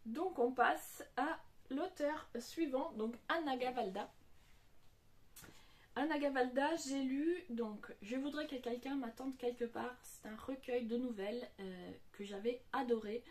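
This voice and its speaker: A woman's voice: sits high, measured pace. Hesitant woman, non-native French speaker. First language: not French. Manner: hesitant, many fillers and restarts. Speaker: book vlogger. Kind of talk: casual book talk to camera.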